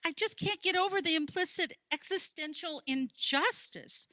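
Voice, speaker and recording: A woman's voice: very high voice, normal speed, pushed and bright, no echo. An actress in a radio play playing a skeptical, stubborn, gloomy woman who questions and argues. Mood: aggrieved. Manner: querying, argumentative.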